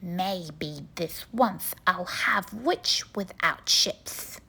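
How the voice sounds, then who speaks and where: Storybook dragon played by a woman: mean, hungry, menacing, with a husky edge and deliberate pace. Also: lowish voice, expressive, bright, forceful tone, pitched below the performer's own voice, heavily acted. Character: mean, hungry male dragon threatening a witch. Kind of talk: children's storybook read-aloud.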